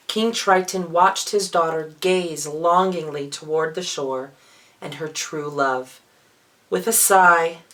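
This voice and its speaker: Male drag queen, storybook reading, very slow pace, high-pitched for a man, expressive and theatrical. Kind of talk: children's storybook reading with playful asides.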